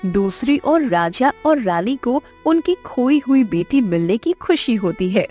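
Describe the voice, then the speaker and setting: A woman's voice: fairly high pitch, very animated, unhurried, warm tone. A woman giving scripted storybook narration for a cartoon. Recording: music under the voice. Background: background music.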